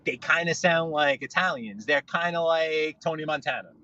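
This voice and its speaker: A man tells stories from behind the wheel, circling back and restarting his sentences as he goes. His voice is bright and forceful. The audio is dull and lo-fi, like a livestream feed. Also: fairly high pitch, moderate pace. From New York. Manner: rambling, storytelling, frequent restarts. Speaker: livestream guest. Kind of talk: casual live podcast chat while driving. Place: in a car.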